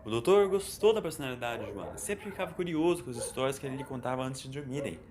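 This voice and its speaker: A man's voice: mid-range voice, animated, fast talker, a crowd in the background. A man with a Brazilian accent reading a scripted story as its narrator, in Portuguese storytelling style. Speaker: narrator of an audio fan story. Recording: voice over background music. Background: music.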